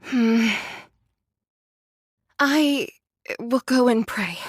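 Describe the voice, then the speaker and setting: A woman's voice: a little high, very slow, slightly rough, no room sound, clean studio sound, heavily acted. An actress playing a gloomy, devout young android woman in a game drama, withdrawn, touchy and brooding. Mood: morose.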